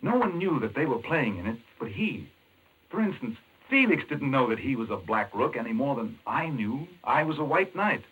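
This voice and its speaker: Urgent american doctor in old 40s radio mystery, raspy, muffled recording. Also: male voice, mid-range voice, very animated, normal speed. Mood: fascinated, grave. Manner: urgent, emphatic, explanatory. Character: intense, obsessed male chess-playing doctor explaining a madman's scheme. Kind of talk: 1940s radio mystery acting.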